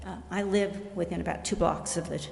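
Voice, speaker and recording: Creaky older American woman in echoey hall. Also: lowish voice, fairly even intonation, fairly quick, darkish tone. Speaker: retired teacher giving public comment at a council meeting. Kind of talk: informal public remarks. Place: indoor council chamber. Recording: roomy, echoing council chamber with faint noise.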